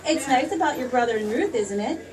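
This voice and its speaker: A woman's voice: sits high, expressive, a little fast, darkish tone, a little hiss or hum. An actress playing a spirited, teasing young small-town woman in an old-time radio play. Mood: coy. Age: young adult. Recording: distant stage mic.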